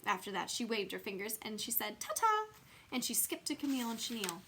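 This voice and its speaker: A woman reading a children's bedtime story aloud as scripted, expressive narration. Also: mid-range voice, quick pace, a little hiss or hum. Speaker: storybook reader.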